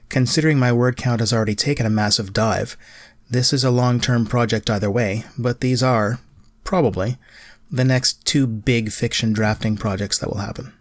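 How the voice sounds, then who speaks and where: A middle-aged man, narrating a solo podcast in a measured, lightly self-deprecating way. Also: medium pitch, dry recording, studio quality. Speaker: podcast host, writer.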